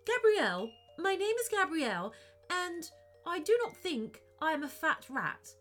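A woman's voice: very high voice, big pitch swings, unhurried, fairly bright tone, faint music behind, voice pushed up, exaggerated voice. A woman voicing a scheming male rat gang leader who speaks in a stiff, formal way in a children's story. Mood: wary. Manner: formal and stiff, then sly.

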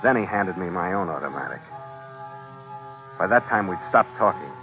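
A tough American sea captain tells his story in a low, very lively voice. He is wry and clipped, in classic radio adventure style. The thin, tinny recording carries music behind him. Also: moderate pace. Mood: tense. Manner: terse, wry, hardboiled storytelling. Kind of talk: 1940s-50s radio adventure narration and acting.

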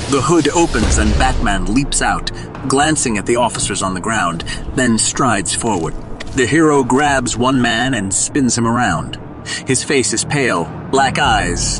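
A man narrating a scripted audio drama in a dramatic, descriptive storytelling manner. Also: mid-range voice. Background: music.

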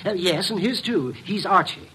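A fussy doctor in a vintage radio mystery. His male voice is husky and fairly high, with lively swings in pitch. He is excitable, stammering and easily flustered. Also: normal speed, heavily acted, poor audio. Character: excitable, fussy, hesitant doctor, amateur sleuth companion. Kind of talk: old-time radio drama acting.

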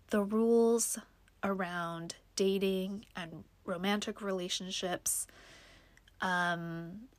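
Slow, stuffy-nosed young woman vlogging. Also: medium pitch, no room sound. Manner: slow, reflective, many ums and likes. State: stuffed up from allergies. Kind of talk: casual personal vlog talk.

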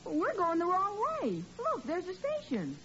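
A woman's voice: very high voice, wildly swooping pitch, moderate pace, fairly bright tone, heavily acted. A cheeky young boy Junior. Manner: wisecracking, needling his father. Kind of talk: 1940s radio acting. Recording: dull lo-fi old radio recording with hiss.

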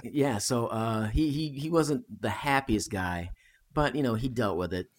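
Guy on a podcast telling history stories, casual chat, mid-pitched voice. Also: unhurried, slight background noise. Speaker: podcast guest.

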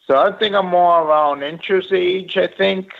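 On a thin, phone-like line, a man in his thirties calls in to chat. His voice is fairly high and gravelly, and he speaks hesitantly, repeating himself. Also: moderate pace. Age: 30s. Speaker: podcast caller. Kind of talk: casual chat. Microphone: phone or voice call. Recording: tinny, narrow phone-like call audio.

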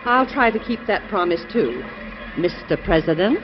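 An actress playing a devoted, gently teasing American president's wife in an old-time radio drama, in a genteel, expressive way. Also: female voice, mid-range voice, normal speed, over music, lightly acted. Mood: fond, teasing. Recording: dull, noisy old radio recording.

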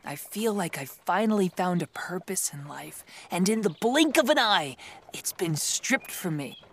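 A woman voices a sheepish, mopey dog sidekick in a fantasy audio drama. The speech is slow and plaintive, sliding up and down in pitch. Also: expressive, a bit bright, slight background noise. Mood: forlorn.

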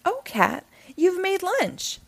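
A woman's voice: very high-pitched, wildly swooping pitch, slow, vocal fry, voice pushed up, exaggerated voice. A woman voicing a lovely, cheerful, curious witch in a children's storybook, sounding happily surprised. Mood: delighted, curious. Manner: happy, sing-song surprise. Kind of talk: animated children's storybook character reading.

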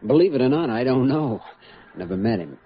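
An American man acting an earnest, considerate doctor in a classic radio drama, questioning gently and a little hesitantly. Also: medium pitch, very animated, brisk, breathy edge. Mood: concerned. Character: earnest, considerate young male hospital doctor. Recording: muffled, noisy old radio recording.

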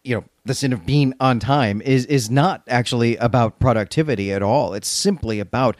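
A man with an average-pitched voice talks through a story as a podcast co-host. His delivery is conversational and wry, dropping sardonic commentary as he goes. The sound is very dry, with little room in it. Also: normal speed, no echo.

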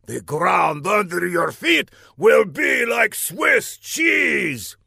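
A man's voice: high voice, sing-song, drawn-out words, creaky, sharp tone, husky, dry recording, raised voice, clean studio sound, pitched above the performer's own voice, exaggerated voice. A man voicing a megalomaniac villain in a comic audiobook, emphatic and theatrical, swinging from gleeful to exasperated. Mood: grandiose. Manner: theatrical, emphatic, exclaiming grandly.

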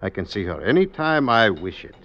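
A man's voice: on the low side, big pitch swings, a little fast, a bit bright. A man playing a scheming, coaxing stonemason with folksy old-country speech in an old radio drama. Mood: wistful, hushed. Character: scheming male stonemason, sly, coaxing poisoner. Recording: muffled, noisy vintage radio recording.

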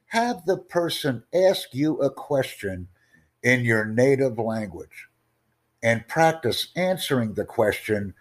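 Formal, deliberate male tutor. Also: medium pitch, lively intonation. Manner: slow, formal, deliberate, asks rhetorical questions. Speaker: IELTS tutor and podcast host. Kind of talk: teaching podcast Q&A.

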